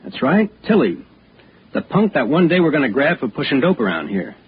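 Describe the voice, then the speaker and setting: A man's voice: a little high, very animated, fairly quick. A man acting as a plain-spoken older American policeman in a 1960s radio drama, talking in a folksy way. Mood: chatty, explaining. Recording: dull, noisy 1960s radio recording.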